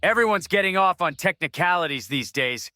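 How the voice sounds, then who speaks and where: A smug, bullying sheriff's deputy, voiced by a man, sneers and warns in a sharp, forceful voice pitched a little high. The sound is close and dry, like a studio audio drama. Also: expressive, brisk, no room sound. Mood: menacing. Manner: taunting, threatening.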